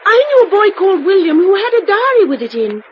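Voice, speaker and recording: Give an excitable, plucky little British girl in a radio play. The voice is extremely high and childlike, with eager, matter-of-fact delivery. It comes through a dull recording with faint noise. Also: fast. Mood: plaintive, chatty. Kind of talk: British radio drama acting.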